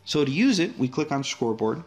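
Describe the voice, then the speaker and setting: A man's voice: mid-range voice, fairly quick, soft background music. A man, the app's developer, casually walking through a demo of his app with frequent fillers and restarts. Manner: relaxed, friendly walkthrough with frequent fillers.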